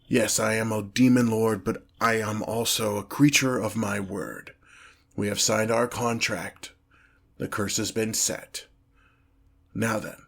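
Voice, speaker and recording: A man's voice: lowish voice, creaky, heavily acted. A man voicing a haughty, exasperated demon lord in a fantasy audio roleplay, speaking formally and pompously. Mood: curious. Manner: pompous, formal, slow deliberate phrasing with irritated sighs. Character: haughty, exasperated male demon lord, grandiose but petty. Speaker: character performer in roleplay audio. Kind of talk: scripted ASMR fantasy roleplay, one-sided dialogue.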